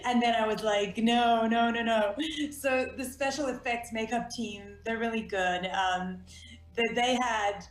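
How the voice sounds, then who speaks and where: A young South African woman whose first language is Afrikaans, a guest chatting in an interview over a video call, full of fillers and quick laughs. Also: a little high, fairly even intonation. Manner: bubbly, laughs often, many fillers. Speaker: actress guest. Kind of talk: casual interview chat. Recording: echoey room.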